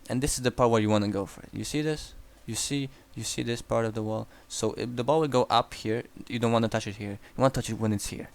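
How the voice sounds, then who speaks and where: A young man with a Croatian accent, bluntly coaching a player through a game replay with casual swearing. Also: mid-range voice. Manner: blunt and profane, frequent restarts. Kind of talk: replay analysis commentary.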